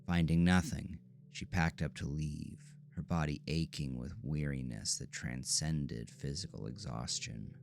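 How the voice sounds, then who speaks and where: A man with an extremely deep, creaky voice narrates a horror audio drama. He takes his time, with a measured and ominous storytelling delivery at a slow pace. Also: faint music behind.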